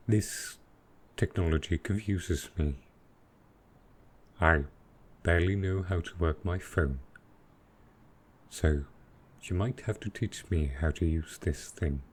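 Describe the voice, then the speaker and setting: A man's voice: low voice, husky, heavily acted. A man voicing an affectionate, possessive dragon boyfriend who is baffled by technology, speaking tenderly and hesitantly in an intimate roleplay audio. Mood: curious, puzzled. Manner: slow, tender, hesitant speech.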